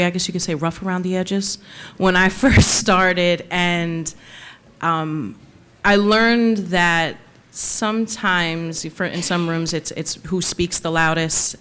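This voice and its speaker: Candid woman panelist, low voice. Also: a bit bright, clear, resonant tone. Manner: reflective, candid, laughs now and then. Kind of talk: panel discussion answer.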